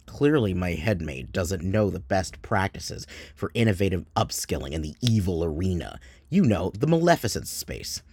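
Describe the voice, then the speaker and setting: A man plays a drab, earnest corporate employee in sketch comedy. The voice is low and subdued, slightly husky, and delivers business-speak with bland sincerity. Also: big pitch swings, normal speed, darkish tone, no echo, full character voice. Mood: blandly earnest. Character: earnest, drab office worker full of corporate jargon.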